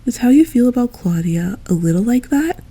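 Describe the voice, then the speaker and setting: A woman voicing a grown former knight who mentors a younger friend, gentle and reflective, in a fan audio drama. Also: on the high side, expressive, fairly quick, husky, rough recording. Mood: tender. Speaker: voice actor.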